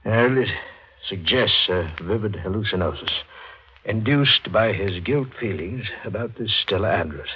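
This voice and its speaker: An actor playing a learned psychiatrist in a 1940s radio drama, speaking in a measured, formal, clinical manner. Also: male voice, on the low side, expressive, sharp tone, breathy edge, full character voice, rough recording. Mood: clinical, calm.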